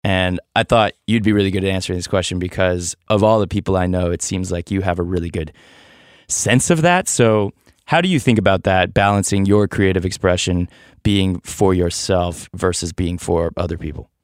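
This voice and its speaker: Deep-voiced man musing on a podcast. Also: moderate pace, clear, resonant tone, no room sound, studio quality. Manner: thoughtful, hesitant, with restarts and laughs. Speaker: podcast host. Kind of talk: reflective podcast monologue.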